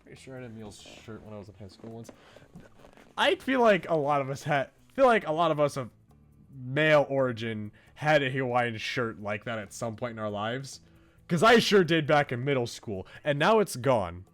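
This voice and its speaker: A man chatting casually as a live game streamer, with playful, rambling asides. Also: medium pitch. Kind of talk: live gaming commentary, casual chat. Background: music.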